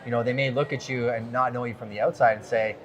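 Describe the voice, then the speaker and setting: A male host leads a conversation at an investment conference, eager and quick to chime in with agreement. He speaks fast in a mid-range voice, neither high nor low. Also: steady tone, highs cut off. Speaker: interview host. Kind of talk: enthusiastic interview chat.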